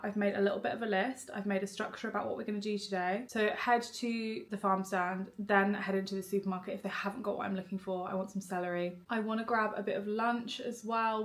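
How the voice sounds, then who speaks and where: A young woman vlogging on her phone in a relaxed, chatty way, a bit bunged up from a cold. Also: mid-range voice. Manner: chatty and relaxed, rambling with frequent "like". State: congested, bunged up nose. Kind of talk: chatty vlog talk to camera. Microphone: phone camera.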